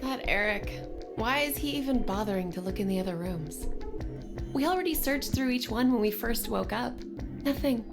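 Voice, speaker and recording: Skeptical, quick-witted young woman for a mystery game, heavy music underneath. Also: on the high side, animated. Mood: annoyed. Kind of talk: video game voice acting.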